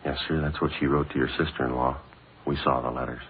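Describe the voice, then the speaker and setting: An actor playing a stoic, courteous American police detective in a radio drama, clipped, understated and deadpan. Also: male voice, extremely low pitch, fast talker, narrow, tinny audio. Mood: gently matter-of-fact. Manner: clipped, matter-of-fact, deadpan. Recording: old band-limited radio broadcast, hissy.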